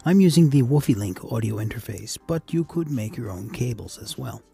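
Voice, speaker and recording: A man giving a casual, measured instructional voice-over as an amateur radio operator hosting a tutorial. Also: medium pitch, expressive, vocal fry, warm tone, husky, faint music behind.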